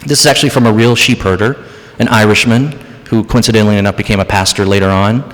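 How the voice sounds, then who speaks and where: A man preaching a sermon as pastor, dramatic, moving between hushed passages and raised, emphatic ones. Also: fairly low pitch, steady tone, quick pace. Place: church sanctuary. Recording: church hall reverb.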